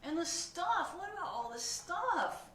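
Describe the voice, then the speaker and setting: A woman voicing an excitable, whiny male pigeon who begs and pleads melodramatically in a storybook read-aloud. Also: a little high, measured pace, voice pushed up. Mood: anxious. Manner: wheedling, begging, melodramatic. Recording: echoey room, distant mic, faint noise.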